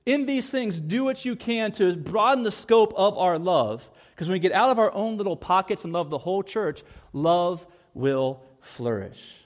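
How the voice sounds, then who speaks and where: Man, pastor, sermon, higher-pitched voice, earnest, repeats lines for emphasis. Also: lively intonation, moderate pace. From United States.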